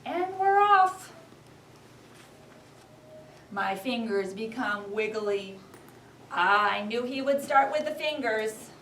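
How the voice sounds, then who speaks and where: A woman teaching young children, reading a storybook aloud expressively and giving clear, animated instructions. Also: a little high, slow pace, pauses often. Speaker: first-grade teacher. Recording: echoey classroom, distant, background noise.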